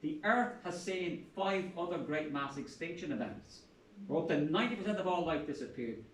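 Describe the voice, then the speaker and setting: An older Irish man gives a passionate, blunt university talk with bits of salty humor. His voice sits on the high side. He is picked up from a distance in a reverberant room. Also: expressive, normal speed, a little hiss or hum. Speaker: professor and panel speaker. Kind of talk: public talk at a university event. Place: lecture room. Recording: echoey room, speaker far from mic.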